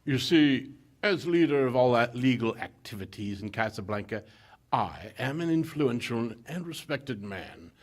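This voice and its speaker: A man's voice: mid-range voice, expressive, measured pace. Male actor as courteous underworld boss, scheming, oily, hesitant ums, very varied intonation, vintage radio drama. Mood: smooth, courteous. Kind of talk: 1940s-style radio theater acting.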